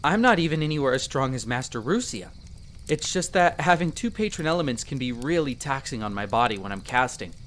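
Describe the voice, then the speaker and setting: A man's voice: mid-range voice, expressive, fairly quick, fairly bright tone, natural voice. A man playing a friendly young elf explorer in a roleplay audio drama, talking conversationally and earnestly to the listener in a self-deprecating way. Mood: candid, self-deprecating. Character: friendly young male elf explorer, modest half-outsider mage.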